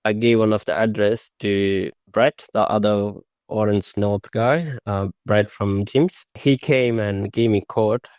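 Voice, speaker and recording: Soft-spoken low-voiced non-native man. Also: steady tone, no room sound. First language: not English. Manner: halting, unpolished phrasing with restarts. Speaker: new mowing franchisee. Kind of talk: casual interview.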